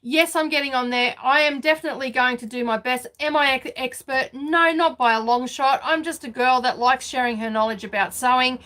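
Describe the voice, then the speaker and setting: A woman's voice: sits high, brisk, few pauses, bright, forceful tone. A woman, hosting a casual live sewing chat from her home studio, chatty and laughing often. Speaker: sewing vlog host.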